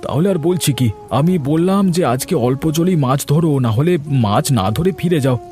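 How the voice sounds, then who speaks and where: A man's voice: fairly high pitch, expressive, moderate pace, mellow, lightly acted. Male voice, man playing concerned cartoon village neighbour, earnest, admonishing, faint music and rain behind. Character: concerned, sensible village fisherman, advising neighbour. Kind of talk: Bengali cartoon folk-tale voice acting.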